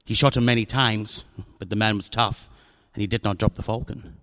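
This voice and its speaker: An actor in a radio drama playing a pompous, jovial, cunning older crime boss who talks in a courtly, florid, theatrical way. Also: male voice, fairly low pitch, fairly even intonation, brisk, a bit bright, lightly acted. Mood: matter-of-fact.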